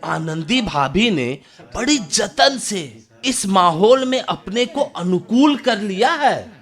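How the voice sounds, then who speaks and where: Animated, high-voiced village gossip. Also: male voice, takes it slowly, heavily acted. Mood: agreeable. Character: gossipy, respectful village nephew. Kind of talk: Hindi radio drama acting.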